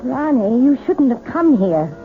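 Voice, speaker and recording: An actress playing a timid, hesitant wife and piano teacher in a 1970s radio drama. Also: female voice, high-pitched, big pitch swings, measured pace, heavily acted. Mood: pleading. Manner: hesitant, stammering. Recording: tinny old radio broadcast with music.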